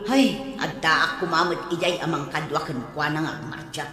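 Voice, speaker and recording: An actress playing an indignant, pushy parent in an Ilocano audio drama. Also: female voice, sits low, steady tone, normal speed, pushed and bright, faint music behind. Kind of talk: Ilocano radio drama acting. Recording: echoey hall-like reverb.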